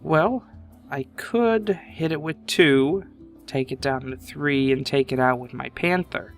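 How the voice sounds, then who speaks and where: A man commentating his card game match casually, thinking aloud at an unhurried pace, his voice a little congested from a sinus infection. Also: a little high, soft background music.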